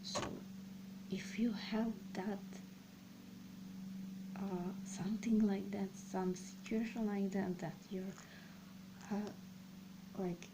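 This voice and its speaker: Slow, halting young woman vlogger. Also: mid-range voice, steady tone. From Serbia. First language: Slovak and Serbian. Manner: slow, halting, thinking aloud with many pauses. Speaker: language channel host. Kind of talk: casual personal vlog talk. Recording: clear background noise.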